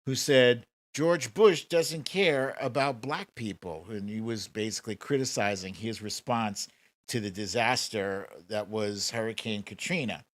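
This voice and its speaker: A man's voice: lowish voice, measured pace. An American man hosting a livestream, giving casual, informal political commentary with emphatic repetition. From United States. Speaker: livestream host.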